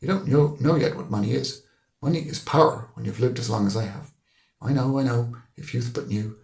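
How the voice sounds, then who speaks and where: Preachy old schoolmaster played by a male narrator: gleeful, emphatic, pitched up, roomy sound. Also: brisk, creaky, a little mellow, close to an everyday voice. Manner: emphatic, lecturing, rhetorical questions. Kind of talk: audiobook character voice.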